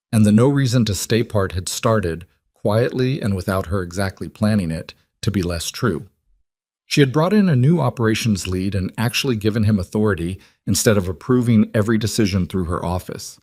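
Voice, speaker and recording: A man's voice: fairly low pitch, a little mellow, no echo. A man reading a scripted story as its narrator.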